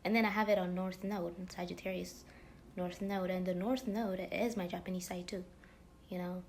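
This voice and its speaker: A woman's voice: lowish voice, steady tone, moderate pace, darkish tone, slight background noise. A woman vlogger chatting to camera in a rambling, casual way, full of fillers and dropping now and then into whispery asides. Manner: drifting, many fillers, drops into whispery asides.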